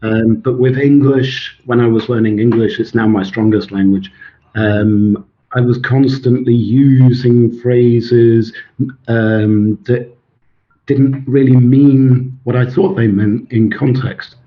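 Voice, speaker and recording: A man in his forties, born in Sweden with Swedish as his first language, a teacher and author talking in an interview, thoughtful and full of pauses and fillers. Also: mid-range voice, fairly even intonation, dull audio. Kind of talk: interview, casual talk.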